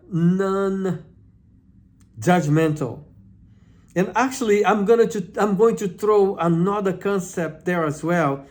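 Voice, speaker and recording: Slow, high-voiced man with a Brazilian accent. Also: darkish tone. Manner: hesitant, often repeats and restarts words; earnest advice-giving. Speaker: channel host. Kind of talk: informal talk to camera.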